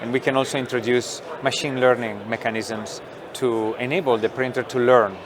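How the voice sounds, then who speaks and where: A middle-aged man, a company founder explaining technology in an interview, thoughtful with many pauses and fillers. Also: mid-range voice, little pitch movement, crowd noise. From Italy. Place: trade show booth studio.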